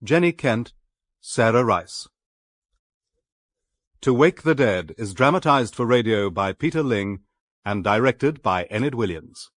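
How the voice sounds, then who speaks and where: A male radio announcer reads scripted credits very slowly and formally. His medium-pitched voice sounds close and dry. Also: expressive, no echo. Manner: formal, measured. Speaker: continuity announcer.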